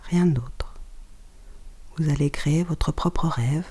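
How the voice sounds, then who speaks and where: Soft breathy yoga nidra guide, mellow voice, calm short instructions w pauses, almost whispering. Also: mid-range voice. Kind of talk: guided relaxation meditation, scripted instructions.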